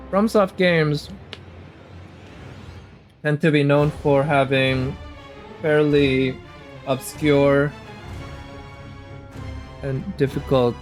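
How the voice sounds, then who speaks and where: A man giving casual, unhurried live commentary as a game streamer, musing aloud as he goes. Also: mid-range voice. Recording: game music behind the voice. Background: game music.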